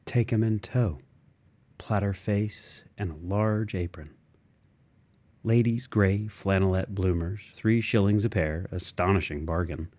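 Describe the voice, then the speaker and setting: A man reading a literary audiobook, voicing an interior monologue in musing, fragmented phrases with expressive shifts. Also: deep voice, unhurried. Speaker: audiobook reader.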